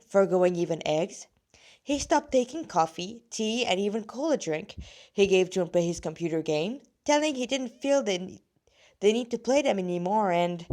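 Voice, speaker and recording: A woman reads her own story aloud in a medium-pitched, slightly rusty voice. She is casual and chatty, and she tends to ramble, stopping partway through sentences to add asides before picking up again. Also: darkish tone. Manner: rambling, digressive, often stopping mid-sentence to comment. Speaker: fan fiction author reading her story aloud.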